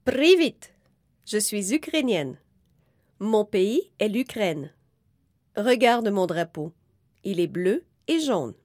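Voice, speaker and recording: A woman reading a children's book aloud slowly and clearly, in careful, evenly spaced phrases. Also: mid-range voice, big pitch swings, darkish tone, dry recording, studio quality. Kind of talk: slow, clear read-aloud for young learners.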